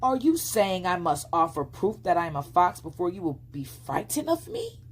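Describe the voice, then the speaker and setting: A woman's voice: on the low side, sing-song, moderate pace, breathy edge. A woman voicing a vain, pompous male fox in a storybook, speaking in a mock-courtly, formal way and easily affronted. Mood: shocked.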